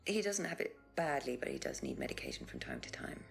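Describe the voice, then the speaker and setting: A woman's voice: a bass voice, huge pitch swings, fast talker, creaky, faint music behind, full character voice. An actress playing an evasive, domineering married woman being questioned in a mystery game, guarded in manner. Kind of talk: acted dialogue in a mystery video game.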